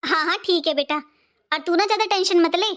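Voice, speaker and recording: A woman's voice: very high voice, normal speed, a bit bright. A woman playing a middle-aged mother-in-law in a cartoon family drama, a fretful matriarch who talks in a rambling, repetitive, motherly way. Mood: reassuring.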